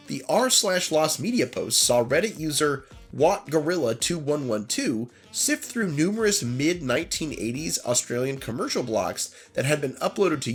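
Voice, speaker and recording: Lively, nasal-voiced man narrating. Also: medium pitch, husky. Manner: animated reading with self-corrections. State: nasal from a cold. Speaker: host. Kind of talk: narrating a lost media video.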